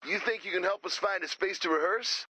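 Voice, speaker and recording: A man's voice: high voice, a little fast, sharp tone, husky, no room sound, full character voice, poor audio. A man acting as a dry, skeptical band musician in a video game, wry and matter-of-fact. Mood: casual. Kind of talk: video game character dialogue.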